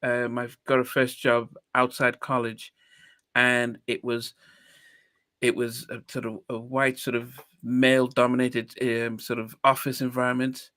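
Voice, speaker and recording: A man's voice: medium pitch, completely flat delivery, unhurried, pushed and bright, no echo. A man in his fifties, from Nigeria, hosting a podcast in a reflective, hesitant way with many restarts. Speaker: podcast host. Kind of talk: casual interview chat.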